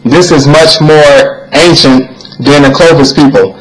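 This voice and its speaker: A man's voice: fairly high pitch, fairly even intonation, unhurried, rough recording. A man narrating a video, reading written text aloud in a plain, earnest way and sometimes stumbling and repeating words.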